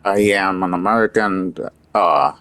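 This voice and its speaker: A man's voice: low-pitched, unhurried, a bit bright. A man from Puerto Rico giving an earnest, opinionated monologue in a casual, rambling way. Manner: earnest, opinionated, talks in loose rambling asides. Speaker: vlogger.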